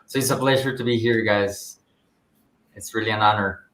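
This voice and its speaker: A man's voice: lowish voice, fairly even intonation, normal speed, fairly bright tone, poor audio. A man, guitarist and producer of a band, polite and gracious in a casual interview.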